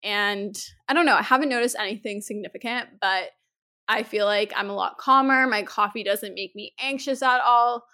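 A woman from Canada hosting a podcast in casual chat, hoarse from a head cold, chatty and full of "like". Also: mid-range voice, moderate pace, pushed and bright. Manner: chatty, uses "like" often. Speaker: podcast host.

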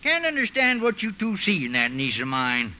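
An actor playing a cranky, folksy old country veterinarian, a wry uncle with a cackling manner, in a 1950s radio comedy. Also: male voice, high voice, huge pitch swings, normal speed, sharp tone, heavily acted. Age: older. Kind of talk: 1950s radio sitcom acting. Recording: noisy old 1950 radio recording.

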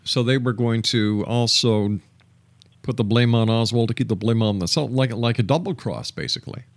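A man hosting a radio interview, speaking politely in deliberate phrases. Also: mid-range voice, dry recording. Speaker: radio show host and interviewer.

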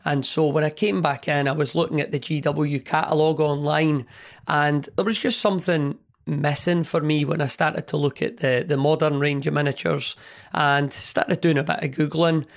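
A man hosting a hobby podcast, chatting in a rambling, enthusiastic way with a hoarse, partly lost voice from a cold. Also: on the high side, steady tone, moderate pace. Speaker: podcast host. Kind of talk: casual hobby podcast monologue.

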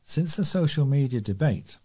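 Male British amateur newsreader, warm relaxed tone, scripted local stories with the odd stumble. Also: mid-range voice, moderate pace, breathy edge. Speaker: volunteer newsreader. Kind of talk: scripted local news reading.

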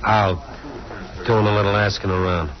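A man's voice: on the low side, flat delivery, normal speed, close to an everyday voice. An actor playing a wary, threatening male crook with terse speech, in a 1940s radio crime drama. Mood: guarded. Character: low-voiced, wary crook man, guarded and threatening. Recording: muffled old-time radio recording, crowd sounds.

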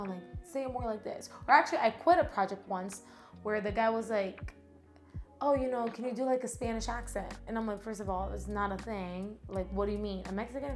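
Lively high-voiced woman chatting. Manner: animated, talks fast, acts out quotes. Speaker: guest actress. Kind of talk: casual podcast chat. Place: Miami.

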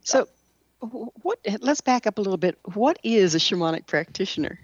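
A woman's voice: mid-range voice, animated, normal speed, no echo, muffled recording. A woman hosting a radio interview show, moving between scripted introductions and questions to a guest.

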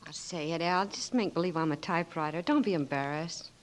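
An actress playing a patient, coaxing hospital nurse in a 1940s radio drama. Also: female voice, low voice, a little fast, close to an everyday voice. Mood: soft.

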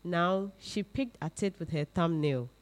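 A woman's voice: on the low side, a little slow. A woman reading literary fiction aloud as a scripted narration. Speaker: reader.

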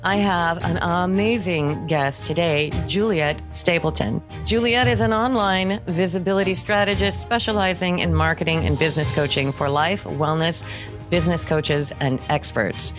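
Low-pitched woman podcast host. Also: normal speed, music in the background. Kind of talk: scripted podcast introduction, then casual interview.

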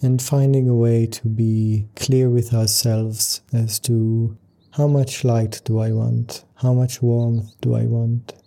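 Male meditation guide: very unhurried, even-toned, gentle and calm, measured phrases with room to breathe. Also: medium pitch, gravelly. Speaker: yoga nidra meditation teacher.

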